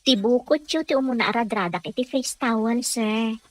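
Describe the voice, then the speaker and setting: A woman's voice: fairly high pitch, normal speed, no room sound, lightly acted. An actress playing a patient, respectful woman caregiver in an Ilocano radio drama, with a deferential, coaxing manner. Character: patient, respectful female caregiver to a wealthy man.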